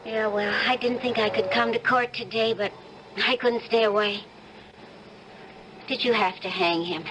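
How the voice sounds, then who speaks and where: Actress as fussing, doting radio-drama wife. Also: female voice, fairly high pitch, fairly even intonation, measured pace, noisy background, lightly acted. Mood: troubled, reproachful. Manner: homely, gently nagging. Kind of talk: old-time radio drama acting.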